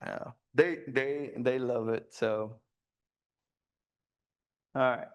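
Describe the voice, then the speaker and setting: A man's voice: medium pitch, steady tone, drawn-out words. A man speaking as a guest at a photography club meeting, talking loosely with many fillers and restarts. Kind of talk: presentation with audience questions. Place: meeting room.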